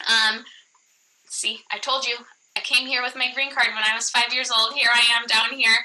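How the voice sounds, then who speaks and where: A woman's voice: a little high, level tone, moderate pace, background noise. A woman, an immigration attorney, presenting a webinar in a chatty way with many "um" fillers and restarts. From born in Iraq, raised in the US.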